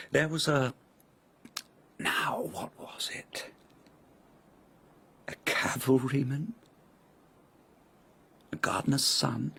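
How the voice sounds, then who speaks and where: An actor playing an arrogant, sneering court nobleman in a radio drama, condescending and husky. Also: mid-range voice, fairly bright tone, exaggerated voice. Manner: haughty, condescending, drawling innuendo.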